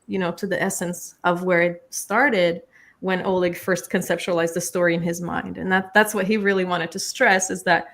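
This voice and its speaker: A woman presenting and interpreting in an informal, earnest, conversational way at a live book discussion. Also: mid-range voice.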